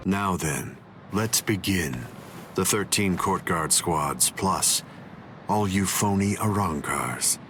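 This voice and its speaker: An arrogant, godlike anime villain. His low voice stays composed and condescending, with music clearly playing behind him. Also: expressive, takes it slowly. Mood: commanding. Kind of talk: anime game dub acting.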